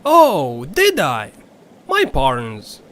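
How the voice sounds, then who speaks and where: A man's voice: on the high side, wildly swooping pitch, drawn-out words, a bit bright, full character voice. A man voicing a sly, folksy riverboat captain with a flowery, drawling, theatrical manner. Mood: teasing.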